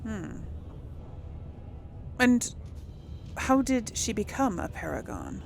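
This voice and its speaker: A woman's voice: on the high side, huge pitch swings, takes it slowly, creaky. A woman hosting a casual role-play let's play, reacting and thinking aloud in a hesitant way. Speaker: gaming channel host. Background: game music and sounds.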